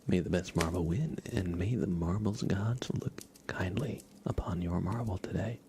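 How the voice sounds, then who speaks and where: A man hosting an ASMR livestream in a hushed, breathy whisper with a deliberate, unhurried delivery. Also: low-pitched, very noisy. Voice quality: breathy, mostly unvoiced whisper. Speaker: ASMR live streamer and host.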